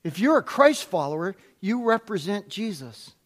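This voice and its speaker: A middle-aged man preaching a sermon as a pastor, with a loud voice that rises when he gets excited and rhetorical questions he repeats. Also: high voice, moderate pace.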